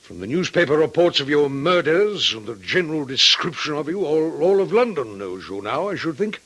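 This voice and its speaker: An actor playing a grave, authoritative older father with a husky voice, speaking formally and firmly in old-time radio drama. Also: a little high, very animated, moderate pace, fairly bright tone, exaggerated voice. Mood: stern. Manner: formal, firm.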